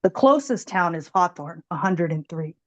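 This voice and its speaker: A woman making a prepared public comment at a meeting, with an emphatic, deliberate way of talking. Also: lowish voice, lively intonation.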